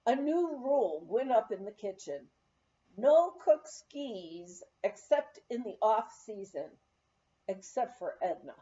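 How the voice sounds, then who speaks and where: A woman reading a memoir aloud in an expressive storytelling manner, on a slightly dull recording. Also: a little high, takes it slowly. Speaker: audiobook reader.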